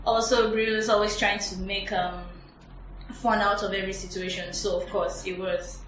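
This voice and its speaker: A woman's voice: mid-range voice, flat delivery, normal speed, fairly bright tone. A woman speaking as a podcast guest, casually sharing her opinions in a film review chat. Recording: echoey, distant mic, background noise.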